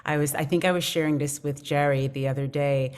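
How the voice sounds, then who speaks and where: A woman's voice: deep voice, steady tone, a little fast, darkish tone, smooth. A woman speaking as an actor on a panel, casual and reflective as she explains her thoughts.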